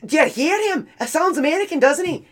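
Woman doing a sweet scottish old lady, bad accent, squeaky high and loud, gushing. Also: lively intonation, brisk. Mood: delighted. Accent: Scottish, put on badly. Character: chatty older Scottish woman, sweet and proud.

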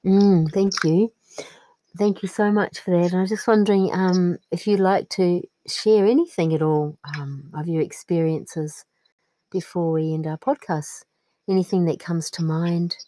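Lower-pitched woman, gently interviewing, dry sound. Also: steady tone, measured pace, no echo, rough recording. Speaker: podcast host.

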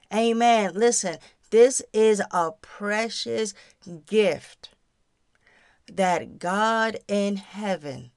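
A woman hosts a faith podcast with an earnest, exhorting delivery. She takes her time over each phrase, at a medium pitch, speaking straight to her listeners as a devotional teacher would. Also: clear, resonant tone, dry recording, studio quality. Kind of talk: devotional talk and prayer.

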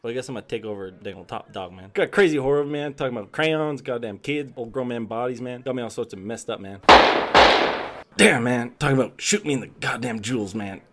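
A man's voice: mid-range voice, a little fast, background noise, close to an everyday voice. A man voicing a drunk, rambling redneck outlaw who tacks a drawled "man" onto every phrase. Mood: drunk, rattled. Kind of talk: hobby audio play acting.

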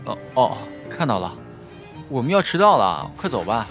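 A man voicing an excitable, easily flustered college student in a campus audio drama. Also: mid-range voice, normal speed, gravelly, soft background music. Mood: flustered, placating. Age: young adult.

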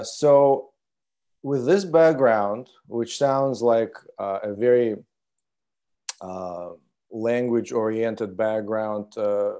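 Friendly host with a mellow voice. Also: male voice, lowish voice. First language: a Cyrillic-alphabet language. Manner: warm, encouraging, laughs along. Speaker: interview host. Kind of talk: conversational interview questions.

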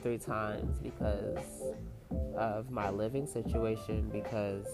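Very low-voiced woman rambling on her podcast. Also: steady tone, takes it slowly. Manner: rambling, filler-heavy, swears casually. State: stuffy from allergies. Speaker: podcast host. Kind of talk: casual solo podcast chat. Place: home, laundry dryer running. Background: lo-fi music playing under the voice.

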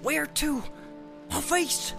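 A man voicing an anxious, loyal hobbit servant, talking to himself in a halting, rustic way. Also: very high-pitched, creaky, pitched above the performer's own voice, heavily acted. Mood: anxious, puzzling. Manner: rustic, halting self-talk with repeated words. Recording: music under the voice.

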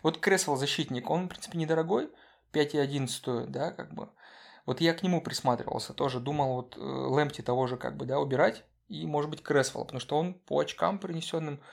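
Gravelly man chatting football casually. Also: on the high side, brisk. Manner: hesitant, many fillers and restarts. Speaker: podcast co-host.

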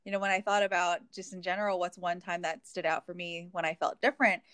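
A woman's voice: fairly low pitch, steady tone, fast, a bit bright, clean tone, dry recording. A woman from Hawaii in a reflective, casual conversation, talking with many fillers and restarts.

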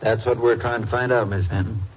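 A man playing a folksy, gentle small-town Texas sheriff in an old radio drama, plain-spoken in manner. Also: medium pitch, steady tone, brisk, husky, natural voice. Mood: gentle, soothing. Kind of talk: 1940s-50s radio drama acting. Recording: muffled, noisy old-time radio recording.

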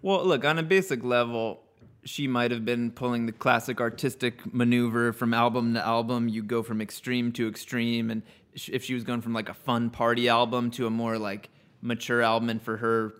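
A man's voice: mid-range voice, fairly bright tone. Rambling, reflective man in his thirties. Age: 30s. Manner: reflective, rambling, many restarts. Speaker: podcast guest. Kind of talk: casual chat.

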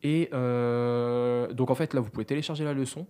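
A man's voice: medium pitch, fairly even intonation, normal speed, dark tone, clean tone. A man co-hosting a podcast, chatting casually and at length, with many fillers and asides. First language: French. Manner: talkative, self-deprecating, many fillers. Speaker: podcast co-host.

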